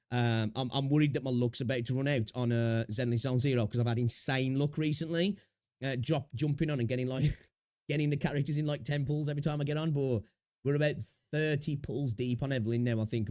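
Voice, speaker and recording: A man's voice: mid-range voice, darkish tone, no echo, clean studio sound. A young man chatting casually on a live stream, a little sniffly with a sore throat, rambling and greeting viewers. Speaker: streamer reacting to videos.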